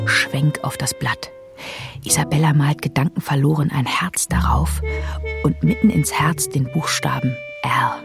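A detached screenplay narrator in a radio drama. Her deep voice is slow, hushed and gravelly, with music playing clearly behind it. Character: detached female narrator reading film screenplay directions.

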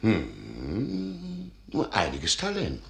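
Eccentric older archivist magician, ceremonious, slyly amused, wildly swooping intonation, roomy echo, radio play. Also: male voice, fairly low pitch, wildly swooping pitch, very slow, vocal fry, heavily acted. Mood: politely instructing. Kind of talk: German radio play acting of a fairy tale.

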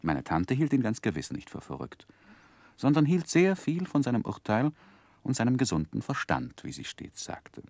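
An actor playing a grown gentleman who looks back on his youth, narrating a 1960s German radio play in a measured, expressive literary manner. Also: medium pitch, vocal fry, dry recording, full character voice. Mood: reflective, earnest.

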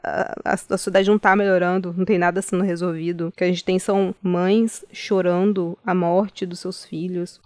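A woman's voice: lowish voice, gravelly, a little mellow, no room sound. A woman giving earnest, emphatic social commentary on a podcast.

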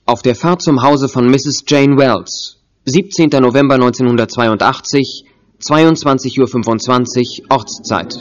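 A man's voice: medium pitch, a little slow, close to an everyday voice. A man giving formal, clipped scripted announcements of time and place in a German audio drama. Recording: dull tape with faint music.

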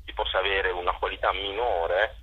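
A man's voice: low voice, steady tone, normal speed, husky, phone-quality sound. A man organizing cultural events, answers interview questions, hesitant and full of fillers.

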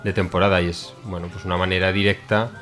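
Deep-voiced hesitant male guest. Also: level tone, fast talker, fairly bright tone, faint music behind. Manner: hesitant, many restarts and fillers. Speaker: studio guest, activist. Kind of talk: casual explanatory talk.

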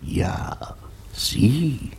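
An actor playing a grave, philosophical tavern guest in a radio play, measured and probing, with a creaky voice. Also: male voice, very animated, a little mellow, whispered, full character voice. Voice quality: deep, very creaky. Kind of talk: German radio play acting.

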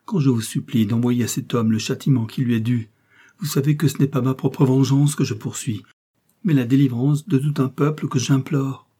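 A man's voice: mid-range voice, steady tone, moderate pace, warm tone, slightly rough, natural voice. A man voicing a young, scheming woman prisoner who feigns pious, desperate anguish in a theatrical, pleading manner for a storybook. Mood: anguished fervour.

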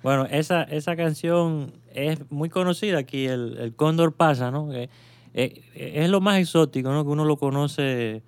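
A man's voice: a little high, normal speed, clean tone, dry recording. A man hosting a radio chat, thinking aloud with many fillers and tag questions. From Cuba. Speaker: radio show host.

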